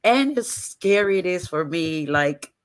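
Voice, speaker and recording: A man's voice: sits high, expressive, a little slow. A man sharing earnestly with the group, hesitant and restarting as he speaks. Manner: earnest, hesitant, laughing softly.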